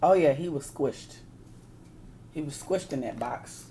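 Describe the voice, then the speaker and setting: A woman's voice: low voice, measured pace, warm tone, slight background noise, low-quality recording. A woman reading a picture book aloud like a teacher, expressively, with casual asides that explain and question her listeners.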